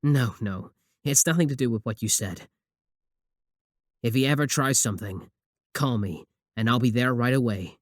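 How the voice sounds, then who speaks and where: A man's voice: medium pitch, normal speed, no echo, clean studio sound, lightly acted. A young man acting a possessive, obsessive student admirer in a scripted ASMR roleplay, sweet yet menacing. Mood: tender, concerned.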